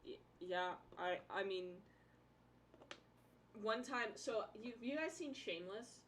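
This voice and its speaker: A woman's voice: medium pitch, expressive, slow pace. A young woman sits a little away from the microphone, with some noise around her. She chats and tells stories casually, using emphatic slang and swearing. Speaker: livestreamer. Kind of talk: casual chat, storytelling. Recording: distant from mic, background noise.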